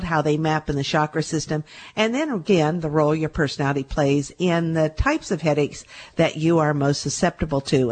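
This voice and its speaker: A middle-aged woman hosts a call-in radio show, talking in a loose, chatty way and circling back over her points. Her voice sits a little low and still carries traces of a recent bout of laryngitis. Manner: chatty, repeats herself with fillers. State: recovering from laryngitis. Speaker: radio show host and medical intuitive. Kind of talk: casual radio monologue.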